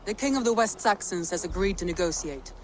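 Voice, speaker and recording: A woman's voice: fairly low pitch, lively intonation, a little fast, slight background noise, lightly acted, poor audio. An actress playing a confident, loyal Viking woman in a video game, assured and firm. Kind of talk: dramatic video game acting.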